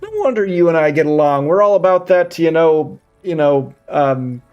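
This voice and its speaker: A man's voice: fairly high pitch, expressive, moderate pace, lo-fi sound, soft background music. A man in his thirties chatting casually as a live streamer, rambling and often repeating his phrases. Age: 30s. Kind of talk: casual chat with viewers while gaming.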